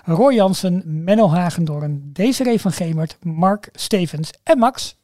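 A man's voice: high voice, expressive, measured pace, mellow, dry recording, clean studio sound. A man, chatting casually as a podcast co-host. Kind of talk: casual chat. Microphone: podcast microphone.

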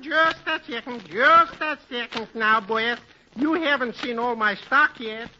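An actor playing a cheerful peddler with wheedling sales patter and little hoots, in 1940s radio comedy style. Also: male voice, very high voice, measured pace, highs cut off, exaggerated voice. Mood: eager selling. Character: cheerful fast-patter male peddler, comic old friend. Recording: bright thin hissy 1940s radio recording.

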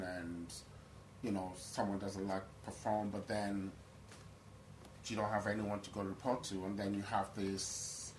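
A man in the audience with a loud, carrying voice asks a rambling, casual question full of fillers. He sounds a little off-mic, with faint noise from the room behind him. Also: on the low side, low-quality recording. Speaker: audience member asking a question.

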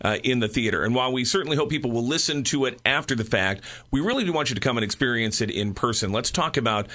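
A man's voice: medium pitch, lively intonation, fast, sharp tone. An older man hosting a conversational radio interview, talking in an encouraging, promotional way.

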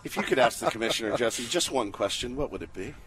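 A man hosting a morning sports radio show, bantering casually in an excitable, joking, exaggerating way. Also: medium pitch, fast talker, background noise.

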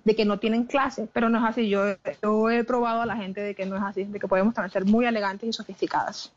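A woman's voice: mid-range voice, level tone, fast, lo-fi sound. A woman from Colombia with a Caribbean coastal accent mixed with English, speaking as an interview guest in a passionate, emphatic way with frequent restarts. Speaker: plus-size model, guest. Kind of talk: interview conversation.

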